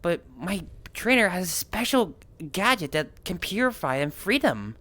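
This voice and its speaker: A man voicing an eager young water creature companion, telling stories earnestly and haltingly in an improvised game roleplay. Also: sits high, sing-song, bright, forceful tone, heavily acted. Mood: eager, proud. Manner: earnest, stumbling storytelling. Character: eager young male water Pokemon companion recounting adventures.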